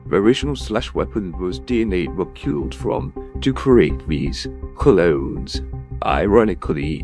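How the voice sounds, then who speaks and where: A man voices a self-assured villainous scientist in a motion comic. His voice is on the low side, with smug, cultured, precise phrasing. Faint music sits behind him.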